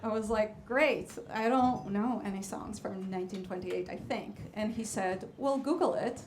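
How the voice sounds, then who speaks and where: A woman's voice: medium pitch, moderate pace, slight background noise. A woman from Israel whose first language is Hebrew, a guest jazz vocalist introducing a song from a club stage in a hesitant, chatty way with many fillers. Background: band instruments faintly.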